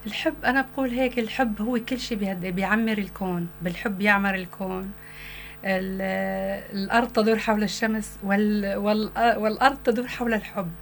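A middle-aged woman from Syria with a rural Syrian accent, a guest in a radio interview who talks haltingly and earnestly, searching for words. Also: medium pitch, fairly even intonation, faint music behind. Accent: rural Syrian Arabic.

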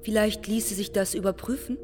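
A woman's voice: medium pitch, fairly even intonation, normal speed, close to an everyday voice. An actress playing a sceptical woman who puts pointed questions in a radio drama. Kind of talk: German radio drama dialogue. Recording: long hall reverb, music underneath.

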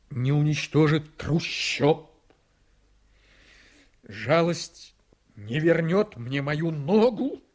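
An ambitious politician in a radio drama, a cynical and domineering man with a middle-pitched voice. He speaks in rhetorical, emphatic phrases, moving between confiding and fierce. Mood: bitter, intense. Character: ambitious, cynical, domineering politician prosecutor, wounded war veteran. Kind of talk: Soviet radio drama acting.

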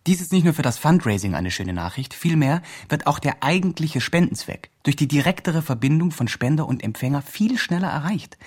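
An actor playing an earnest fundraising organizer, delivering formal progress reports in a radio drama. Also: male voice, on the high side, expressive, a little fast, hardly any pauses, breathy edge. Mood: earnest, upbeat. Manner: formal, report-like project jargon. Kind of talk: radio drama, scripted narration.

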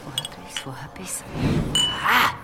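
An actress playing a mocking, gruff heathen herb woman in a radio play, sardonic and prone to muttering and whispers. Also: low-pitched, sing-song, measured pace, heavy background noise. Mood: brusque, businesslike. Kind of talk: dramatic radio play acting.

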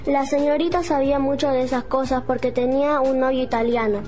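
Expressive woman reading a story. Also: sits high, fairly quick, soft background music. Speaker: narrator of a radio play.

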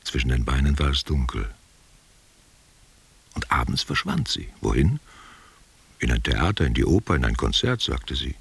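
A man playing a nostalgic, tender old man in a literary radio play, husky and gravelly, speaking intimately and reflectively. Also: rumbling low, big pitch swings, moderate pace, long pauses, heavily acted. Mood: wistful reminiscing. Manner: intimate, reflective, drifting between memory and direct address. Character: nostalgic old man, former only child, tender and wistful.

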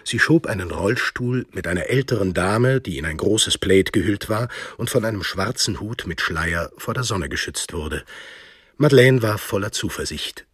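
A man's voice: on the low side, animated, normal speed, fairly bright tone, breathy edge, no room sound, clean studio sound. A man narrating a radio drama in a scripted, literary storytelling style. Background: music.

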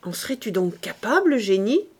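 A woman's voice: medium pitch, sing-song, brisk, darkish tone, breathy edge. A miserly old cooper from a classic novel , played by a woman narrator. He blusters and cajoles his family in exclamatory bursts, wheedling one phrase after another. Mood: cold, suspicious.

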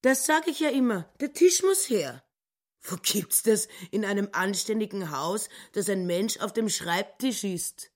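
An actress playing a petty, self-righteous housekeeper turned wife in a radio drama, indignant and insistent. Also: female voice, mid-range voice, animated, unhurried.

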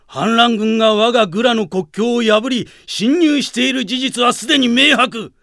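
Gravelly, blustering old general. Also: male voice, very high-pitched, moderate pace, fairly bright tone, dry recording, studio quality. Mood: scornful suspicion. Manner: indignant, blustering archaic speech. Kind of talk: radio drama acting.

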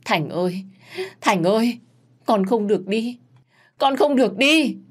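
A woman's voice: on the high side, lively intonation, a little slow, slightly rough, voice pushed up. A woman voicing a grieving middle-aged mother who wails and hurls accusations in a storybook reading. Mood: wailing rage. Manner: wailing, shrieking accusations.

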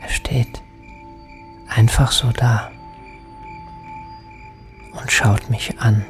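An actor voicing a sorrowful, bewildered lost soul in a poetic radio drama, speaking in hushed, halting fragments and often whispering. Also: mid-range voice, flat delivery, faint music behind. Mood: wistful, desolate.

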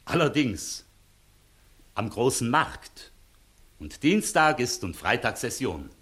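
Authoritative senior official, stern and dignified, widely swinging intonation, 1960s radio drama. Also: male voice, medium pitch, very animated, slow, fairly bright tone. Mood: composed, commanding. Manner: authoritative, clipped commands. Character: stern, dignified visiting court inspector, exasperated authority. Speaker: actor. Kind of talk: 1960s German radio play acting.

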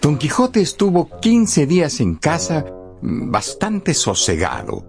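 A man's voice: on the high side, wildly swooping pitch, takes it slowly, vocal fry. A man narrating a scripted radio drama in a theatrical, emphatic storytelling style. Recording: loud music bed under narration.

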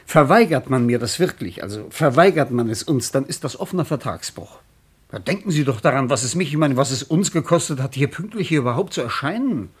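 A man's voice: medium pitch, lively intonation, fairly quick, breathy edge. An actor playing an impatient, indignant businessman on his travels in a radio play, complaining insistently. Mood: exasperated. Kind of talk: German radio play acting.

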